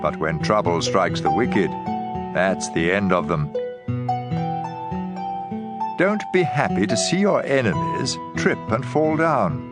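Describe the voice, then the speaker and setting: An actor playing a stern sage in a dramatized scripture reading, giving emphatic warnings. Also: male voice, deep voice, sing-song, slow, pauses often, exaggerated voice. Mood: admonishing. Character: stern male sage warning against drink and fools. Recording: music playing behind the voice. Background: guitar and instrumental music.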